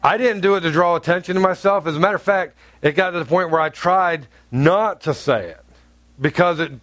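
Blunt, joking pastor from North Carolina. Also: male voice, a little fast, a bit bright, no room sound. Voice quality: deep, carrying voice. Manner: blunt, humorous, chatty preaching with asides. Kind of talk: sermon. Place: church sanctuary.

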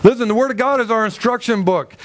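Emphatic high-voiced male preacher. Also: quick pace. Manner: emphatic, animated, acts out quoted people; loud, carrying voice. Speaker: pastor. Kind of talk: sermon with storytelling.

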